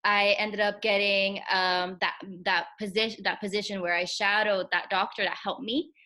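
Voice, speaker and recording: A woman's voice: mid-range voice, little pitch movement, normal speed, pushed and bright. Egyptian-born woman chiropractor, candid. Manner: candid, laughs often, reflective. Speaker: chiropractor guest. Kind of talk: casual interview conversation.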